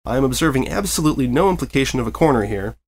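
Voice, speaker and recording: A man voicing an excitable, anxious male pony reporter in a storybook reading, nervously rambling and eagerly polite. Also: medium pitch, racing, close to an everyday voice. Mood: awkward, rambling. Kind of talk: storybook character voice.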